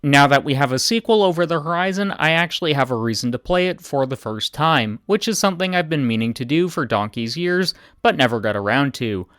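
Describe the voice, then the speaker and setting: Male game commentator, deadpan read, resonant and dry. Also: a little high, brisk, hardly any pauses, clear, resonant tone, no room sound. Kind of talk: scripted video commentary.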